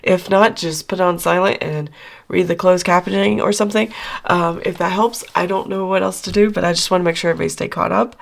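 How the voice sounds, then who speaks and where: A middle-aged woman, hoarse after losing her voice, chatting casually through her weekly budget check-in with lots of fillers. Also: fairly low pitch, fairly even intonation, brisk. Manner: chatty, rambling with many fillers. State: hoarse, recovering from lost voice. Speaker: budgeting channel host.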